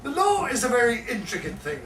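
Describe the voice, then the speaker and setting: Man acting high-pitched, pompous Irish police sergeant. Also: huge pitch swings, a little fast, a crowd in the background, exaggerated voice. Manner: pompous, ponderous. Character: self-important male Irish police sergeant in a pub. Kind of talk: live stage performance of a comic one-act play. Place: live performance in a pub. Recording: echoey, distant live pub recording, faint noise.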